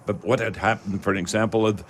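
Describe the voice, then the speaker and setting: Halting, folksy male radio host. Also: lowish voice, fairly even intonation, a little hiss or hum. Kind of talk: casual radio talk with listeners and a guest. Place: radio studio.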